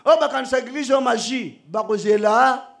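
High-voiced animated preacher man. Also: normal speed, creaky. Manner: animated, joking, switching between shouting and soft asides. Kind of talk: sermon. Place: outdoor church stage under a canopy. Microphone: stand microphone.

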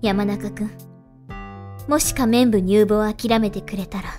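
A woman playing a stern, formal student council president in a voice drama, measured and resolute. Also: mid-range voice, steady tone, warm tone, soft background music, close to an everyday voice. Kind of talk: amateur voice drama acting.

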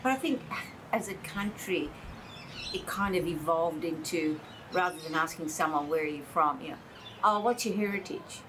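A woman, recalling family life in a relaxed, agreeable way during an interview. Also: fairly low pitch, normal speed. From Australia. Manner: relaxed, agreeable, brief replies. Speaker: interview guest. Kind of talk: casual reminiscing conversation. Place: indoor room by large windows. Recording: audible background noise.

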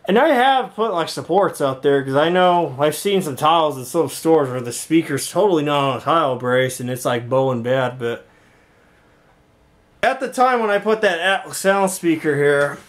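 A man giving a casual walkthrough vlog in an indoor workshop, rambling and halting as he thinks aloud. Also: a little high, lively intonation. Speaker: video creator showing a home intercom and speaker system.

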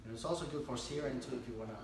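A man's voice: medium pitch, rapid-fire. A man, a chef from Florida, demonstrating a dish with frequent hesitations and fillers. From Palm Beach area, Florida. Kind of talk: cooking demonstration. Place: restaurant kitchen. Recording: echoey restaurant, far from mic.

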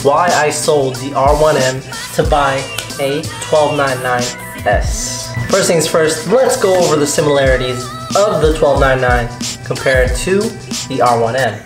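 A man's voice: mid-range voice, measured pace, music in the background. Chatty man in an echoey garage. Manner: loose and chatty, with many fillers and restarts. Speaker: motorcycle vlogger. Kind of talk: casual motovlog talk. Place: home garage.

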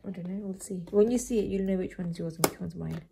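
A woman chatting casually with a young child in a gentle, coaxing, reassuring way, indoors in a home kitchen. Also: fairly low pitch, fairly even intonation, fast, gravelly. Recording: noisy room, handling and crinkling sounds.

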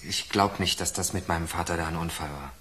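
Radio crime drama: a bitter, sarcastic young inmate with a lowish pitch. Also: male voice, quick pace, pushed and bright, a little hiss or hum. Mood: bitter, suspicious. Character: sarcastic, embittered young male prisoner accusing a killer.

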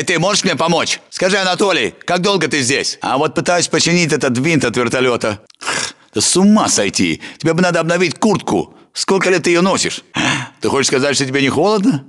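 Slightly high Russian soldier on guard. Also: male voice, fairly bright tone. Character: chatty needling Russian soldier guarding a base. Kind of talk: video game military voice lines.

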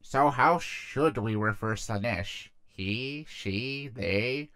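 A man voicing a kindly, cheerful, plump demon god of disease in a comic dub, gentle and earnest. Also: medium pitch, extremely slow, clear, resonant tone, no echo, clean studio sound.

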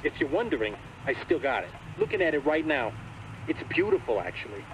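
Creepy driver calling in, casual and lively, kinda unsettling. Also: male voice, fairly high pitch, fairly quick, background noise. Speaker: radio caller. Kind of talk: video game voice acting.

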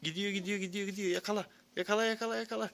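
A man's voice: sits high, steady tone, racing, fairly bright tone. A man talking casually to a young child as a parent, coaxing in short, repeated prompts. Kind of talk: casual child-directed chat. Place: outdoors near animals. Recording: noisy amateur outdoor video.

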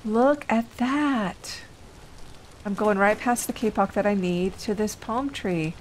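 A woman's voice: mid-range voice, takes it slowly, a little hiss or hum. A woman hosting a casual let's play, thinking aloud unhurriedly while getting over an illness. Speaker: gaming video host.

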